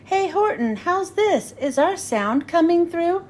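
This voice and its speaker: A woman's voice: very high-pitched, lively intonation, takes it slowly, a little mellow, slight background noise, exaggerated voice. A woman voicing a tiny, anxious male mayor in a storybook, urgent and declamatory. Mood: hopeful.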